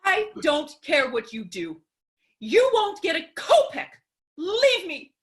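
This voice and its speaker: An actress as a very high-pitched, indignant widow. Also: female voice, wildly swooping pitch, slow pace, heavily acted. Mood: haughty. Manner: melodramatic, imperious. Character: indignant, fiery, haughty widow quarrelling theatrically. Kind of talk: theatrical staged reading of a classic farce. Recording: home recording over video call.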